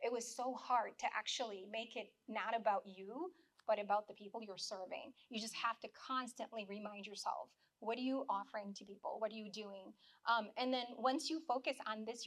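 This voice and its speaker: A woman speaking on a live panel, earnest and reflective, her mouth dry and her voice a little worn. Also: mid-range voice. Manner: earnest, reflective, many restarts. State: dry mouth, slightly losing voice.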